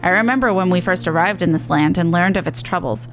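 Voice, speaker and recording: A woman playing a gentle, grateful female refugee, reading game dialogue in a polite, earnest and formal way. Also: lowish voice, fast talker, faint music behind, natural voice.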